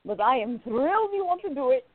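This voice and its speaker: A woman playing a playful, affectionate young artist in love in a radio play, with a teasing, expressive delivery. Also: high voice, fairly quick, no room sound. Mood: tender, teasing. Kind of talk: amateur radio play acting. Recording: thin phone-like audio, dry.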